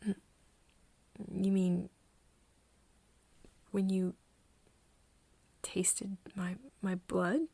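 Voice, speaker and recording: A woman playing a caring, flustered young woman in an ASMR roleplay, with a soft, breathy voice and a tender, stammering manner. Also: medium pitch, monotone, extremely slow, long pauses, dry recording, full character voice. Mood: gentle, sheepish. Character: caring, flustered young woman soothing her vampire friend.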